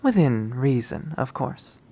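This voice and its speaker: A man's voice: medium pitch, sing-song, takes it slowly, vocal fry, dark tone, breathy edge, heavily acted. A cool and guarded young woman from a visual novel, voiced by a man reading it aloud. She speaks in curt, formal phrases with dry authority and keeps her reserve. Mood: cool, measured.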